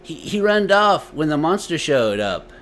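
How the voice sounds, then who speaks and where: A young boy voiced by a man in a spoken story, pitched higher and easily frightened yet eager to be proud. He talks in halting, childish country grammar, over noticeable background noise. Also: big pitch swings, fairly bright tone. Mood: scared, tearful. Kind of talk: dramatized storytelling.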